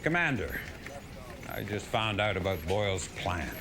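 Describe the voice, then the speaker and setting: Casting: gruff game general, low register, very dynamic delivery, principled and emphatic, noisy backdrop. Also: male voice, big pitch swings, measured pace, heavily acted. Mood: grave, earnest. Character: gruff, principled military general briefing his field commander. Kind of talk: dramatic video game cutscene acting.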